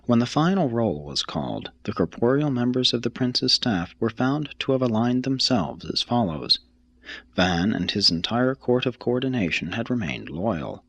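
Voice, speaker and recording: A man reading a religious text aloud as scripted narration, in a solemn, measured, formal manner. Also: medium pitch, gravelly, a bit bright, breathy edge, no room sound, lo-fi sound. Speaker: audiobook reader.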